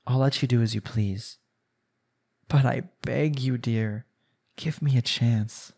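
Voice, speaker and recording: A man acts out a young husband in a romantic audio drama, with a mid-pitched voice. He is devoted and possessive, and speaks intimately and imploringly to the spouse he adores. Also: darkish tone. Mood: tender, begging. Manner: earnest, pleading, sighing delivery. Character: jealous, lovestruck young husband pleading with his spouse. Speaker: audio roleplay voice actor. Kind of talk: intimate romantic audio roleplay, one-sided dialogue.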